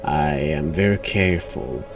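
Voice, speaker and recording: A man streaming a horror game, giving casual, dry, sardonic replies in a deadpan way. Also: a bass voice, measured pace. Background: music.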